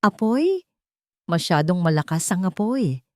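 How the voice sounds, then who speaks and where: A proud, righteous fallen businessman from an audio drama as a woman narrator plays him, intense and solemn but devoted. The pitch moves widely, and the sound is dry. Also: sing-song, unhurried, no room sound. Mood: flustered.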